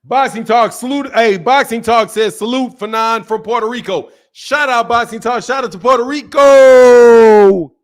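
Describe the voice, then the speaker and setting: Male stream host, high-pitched, animated, slangy and profane, repeats himself, reacting to chat. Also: measured pace. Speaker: boxing talk show host reading chat. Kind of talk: casual live-stream chat.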